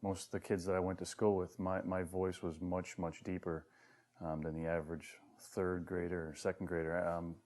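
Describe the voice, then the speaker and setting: A man with a deep voice that tends to hoarseness, talking haltingly with many fillers about growing up. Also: little pitch movement, darkish tone. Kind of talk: personal interview recollection.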